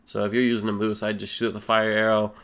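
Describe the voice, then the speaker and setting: Young male gaming creator, a bit low-pitched, nasal from a cold, laid-back chatty delivery. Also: fast, smooth. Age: young adult. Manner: relaxed, rambling, many fillers. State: stuffy nose, a little sick. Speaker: gaming YouTuber. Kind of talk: casual Q and A voice-over.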